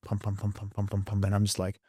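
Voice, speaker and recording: A young man with an accent blending Australian and English speech tells his story as a podcast guest. His voice sits low and comes across dry, with no room around it. He is lively and quick, acting out scenes as he talks. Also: husky, no echo. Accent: mixed Australian and English. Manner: animated, quick storyteller who acts out past scenes. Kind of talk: candid interview storytelling.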